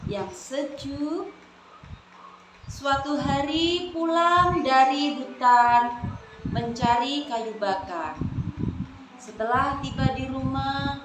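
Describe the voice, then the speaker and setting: Woman telling a kids folk tale, high voice, slow and expressive, echoey room w hiss. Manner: addresses listeners as friends, expressive. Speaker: storyteller for children. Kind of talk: children's storytelling. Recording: echoey room with clear noise.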